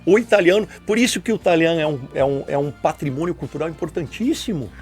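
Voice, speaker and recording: A man's voice: a little high, expressive, quick pace, faint music behind. An older man from Brazil, explaining history on a radio program in a lecturing way, often ending points with tag questions. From southern Brazil. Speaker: guest historian.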